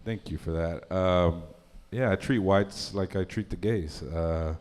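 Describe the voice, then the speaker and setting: Low-voiced man doing crude stand-up. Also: a little slow, darkish tone, a little hiss or hum. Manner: crude, rambling, laughs at his own jokes; throws in lots of fillers like uh and um. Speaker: stand-up comedian. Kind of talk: stand-up comedy set.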